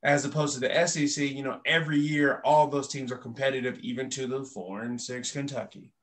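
A young man who played college football, talking as a guest on a sports podcast with emphatic, animated delivery over a laptop mic. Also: medium pitch, fairly quick. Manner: emphatic, animated, uses many fillers. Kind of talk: casual sports talk. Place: indoor room.

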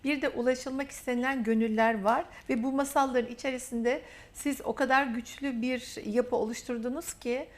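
A woman hosting a TV interview, affirming her guest warmly and drawing out her phrases. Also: fairly high pitch, steady tone. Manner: warm, affirming, drawn-out phrasing. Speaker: TV interview host.

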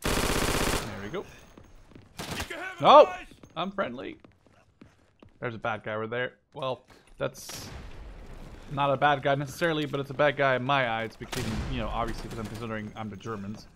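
A man's voice: mid-range voice, moderate pace, long pauses, a bit bright, slight background noise. A man in his twenties giving casual, rambling live gameplay commentary, often correcting himself and swearing offhandedly. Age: 20s. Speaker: gameplay video host. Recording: game gunfire and clicking behind voice. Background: video game gunshots, machine guns, clicks.

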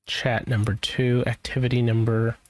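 A man's voice: mid-range voice, flat delivery, normal speed, darkish tone, no echo. A man presenting an IT tutorial in a casual, informal way, rambling a little as he thinks aloud through each step. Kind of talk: casual screen-share software walkthrough.

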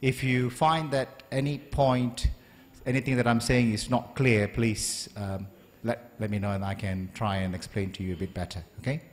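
A man in his forties, from Malaysia, giving a polite, measured university lecture at a stand microphone with frequent ums and uhs. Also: medium pitch, fairly even intonation. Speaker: guest lecturer. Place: large lecture hall, standing at a lectern. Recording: long hall reverb.

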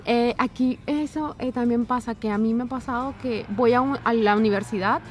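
A young woman chats on an outdoor garden bench in an easy, expressive way. Her voice sits a little high for a woman, and she talks as if catching up with a friend. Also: moderate pace, slight background noise. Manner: chatty, expressive, gestures while talking. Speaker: vlogger, university student in Austria. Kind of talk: casual chat.

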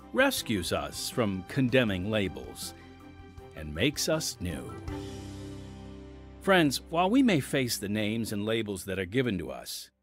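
Animated American male radio announcer. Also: mid-range voice. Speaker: host and announcer. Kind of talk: scripted radio drama narration.

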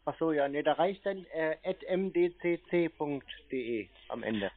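A man's voice: on the high side, lively intonation, moderate pace. A man in a casual phone conversation, patient and matter-of-fact, spelling things out. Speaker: prank caller posing as a customer to phone scammers. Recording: narrow tinny phone-like line.

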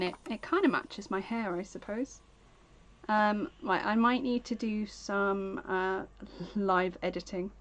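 A woman's voice: medium pitch, slight background noise. A woman chatting casually on a live game stream, rambling as she plays and thanking viewers by name. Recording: game music playing underneath.

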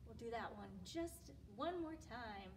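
Young woman, high voice, informal children's storytime host, recorded from a distance indoors. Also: expressive, unhurried, a little hiss or hum. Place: indoors, library room with bookshelves. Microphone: distant camera microphone. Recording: distant camera microphone pickup.

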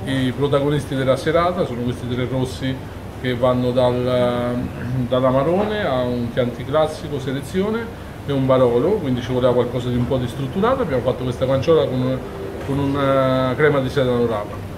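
A man's voice: medium pitch, fairly quick. A man in his forties from Umbria, a chef talking in detail during an on-camera interview, with frequent fillers and restarts. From Umbria, Italy. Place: busy restaurant kitchen during service. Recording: kitchen noise behind.

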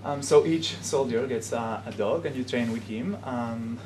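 A young man with a Peruvian Spanish accent addresses a class, pausing often on "um" as he explains. His voice sits at an ordinary pitch. Steady noise runs underneath the classroom recording. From Peru. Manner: halting, with frequent "um" pauses. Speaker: guest speaker. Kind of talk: informal talk to a class. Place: classroom, indoors. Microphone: camera in the room.

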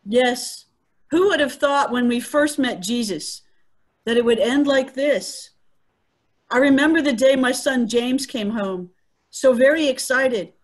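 A woman acting as a devout mother of a disciple in a dramatic reading. Her voice is high, and she speaks with earnest wonder. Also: a little slow. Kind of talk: amateur dramatic dialogue reading. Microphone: video call.

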